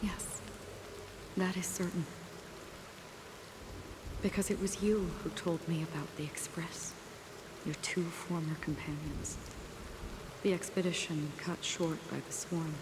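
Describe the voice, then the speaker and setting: A woman playing a solemn, melancholy wanderer who guides souls toward death, speaking softly in measured, breathy phrases for a video game. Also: on the low side, fairly even intonation, background noise, close to an everyday voice. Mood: gentle, resolute. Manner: slow, soft, measured, breathy trailing phrases. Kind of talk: dramatic video game voice acting.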